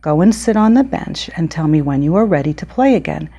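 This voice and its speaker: A woman's voice: low-pitched, expressive, fairly quick, mellow, slightly rough, muffled recording, full character voice. A woman reading the part of a firm, understanding mother setting rules in a picture book. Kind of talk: picture-book read-aloud.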